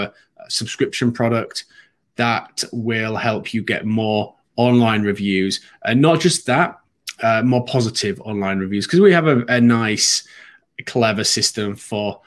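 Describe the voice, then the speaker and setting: Sniffly man hosting a live webinar. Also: lowish voice. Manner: conversational, frequent ums and restarts. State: sniffly from hay fever. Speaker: webinar host.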